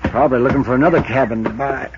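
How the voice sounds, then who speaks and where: An actor playing a plain-spoken, rattled small-town cabin owner in 1950s radio style, folksy. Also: male voice, mid-range voice, very fast, natural voice. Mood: matter-of-fact. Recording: muffled, hissy 1950s radio recording.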